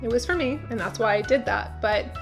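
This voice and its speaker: Woman, beauty vlog host, slightly high voice, emphatic, encouraging, faint music behind. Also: brisk, creaky. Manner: emphatic, encouraging, repeats key words. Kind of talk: talking to camera, giving tips.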